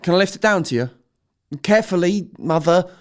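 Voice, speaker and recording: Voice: mid-range voice, lively intonation, a little fast, lightly acted. An actor playing a curious fifteen-year-old son in an audio drama, casual and playfully cheeky. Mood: excited, curious.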